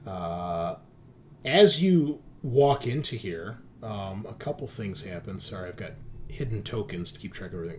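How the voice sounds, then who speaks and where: A man running a tabletop roleplaying game as game master, describing scenes in a halting, improvised way. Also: low voice, noisy background. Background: background music.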